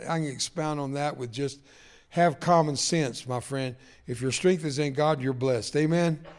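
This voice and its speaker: Older man preaching a folksy sermon. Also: on the high side. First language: Spanish. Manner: folksy, self-deprecating, emotional swings from jokes to quiet.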